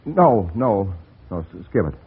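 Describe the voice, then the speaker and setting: An American actor playing a desperate newspaper reporter, narrating in urgent, hard-boiled radio noir style. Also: male voice, lowish voice, big pitch swings, measured pace, heavy background noise. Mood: panicky, urgent. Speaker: lead actor and first-person narrator. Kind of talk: vintage radio noir acting. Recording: dull old radio broadcast with music.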